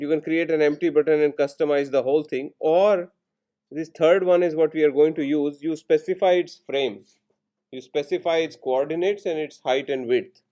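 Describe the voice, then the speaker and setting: A man lecturing in programming, explaining each step in short instructional phrases with pauses between them. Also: a little high, mellow. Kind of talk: step-by-step classroom coding tutorial.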